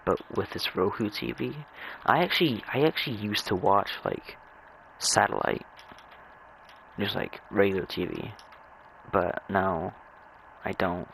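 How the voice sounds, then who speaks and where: A young man chatting casually and rambling over his gameplay, halting and stammering as he repeats words. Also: low-pitched, creaky, a bit bright, a little hiss or hum, low-quality recording. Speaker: gamer narrating own gameplay.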